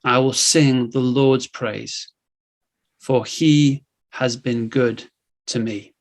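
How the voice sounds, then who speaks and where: Slow, gentle male scripture reader. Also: medium pitch, steady tone. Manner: measured, gentle. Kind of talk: solemn Bible reading.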